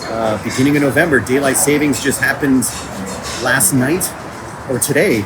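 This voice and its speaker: A man's voice: mid-range voice, moderate pace. A man talking casually and informally about his creative work, in video diary style. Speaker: audio drama writer and creator. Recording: noisy location recording with vehicle and ambient noise.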